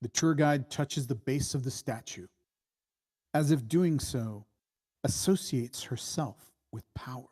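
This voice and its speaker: Slow, deliberate man reading screenplay directions. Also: medium pitch, a little mellow, husky. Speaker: reader of stage directions. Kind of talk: scripted screenplay narration.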